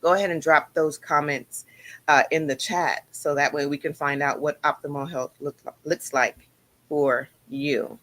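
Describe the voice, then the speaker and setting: A woman co-hosting a live health stream, making announcements in an upbeat, quick way. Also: deep voice, fairly even intonation, a bit bright. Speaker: co-host. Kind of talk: livestream announcements.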